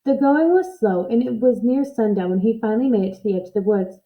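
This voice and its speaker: A woman reading a children's story aloud in an expressive narrating style. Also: a little high, brisk, narrow, tinny audio. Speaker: storybook reader.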